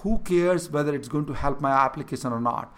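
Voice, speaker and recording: A man's voice: fairly high pitch, fairly quick. A man with an Indian accent, Hindi his first language, earnestly coaching a younger person on leadership and repeating his key points. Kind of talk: mentoring conversation.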